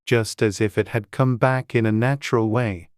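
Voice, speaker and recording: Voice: medium pitch, fairly even intonation, normal speed, no room sound. Audiobook narrator with a close, dry sound. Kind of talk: scripted story narration. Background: faint music.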